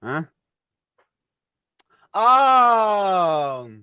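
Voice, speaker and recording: A man playing a slow-witted, apologetic studio helper in a 1940s-style radio play. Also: sits high, very animated, pushed and bright, smooth, dry recording, heavily acted. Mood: puzzled. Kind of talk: old-time 1940s radio play acting.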